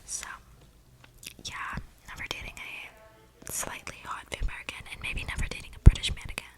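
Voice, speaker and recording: A woman playing an eccentric, flirty female vampire in a whispered ASMR role-play, rambling casually in breathy slang with audible breaths close to the mic. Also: moderate pace, lightly acted, low-quality recording. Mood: sassy, flirty. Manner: slangy, gossipy, conspiratorial, rambling. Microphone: close ASMR microphone.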